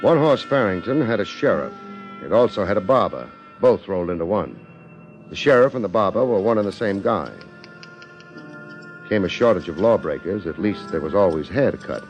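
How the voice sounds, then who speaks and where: Low-voiced, wry radio private eye, lively delivery. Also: male voice, normal speed, faint music behind. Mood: wry, brisk narrating. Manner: wry, clipped hard-boiled delivery. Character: wry, persuasive hard-boiled private investigator. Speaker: lead actor and narrator of detective drama. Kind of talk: 1950s radio detective acting, narration and dialogue. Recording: dull old 1950s radio broadcast recording.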